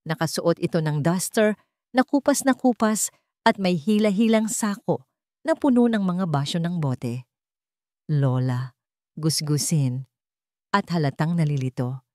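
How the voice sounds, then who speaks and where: A woman narrates a dramatic story in a slightly low voice. Her pitch moves widely and expressively through each sentence. The recording is dry, with hardly any room sound. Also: animated, moderate pace, a little mellow, dry recording.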